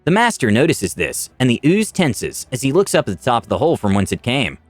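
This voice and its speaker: Guy reading a fantasy story, really lively pitch, dry close sound, light music behind. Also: a little fast, no echo. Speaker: story narrator. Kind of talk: dramatic scripted first-person fantasy narration.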